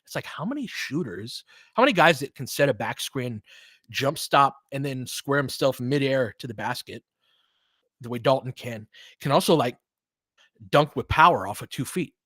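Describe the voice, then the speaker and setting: A man's voice: mid-range voice, expressive, normal speed, a bit bright, no room sound, clean studio sound. Man doing casual, partisan basketball play-by-play. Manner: informal, partisan, reacts openly to plays. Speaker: play-by-play commentator and host. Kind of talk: casual fan-style live basketball commentary.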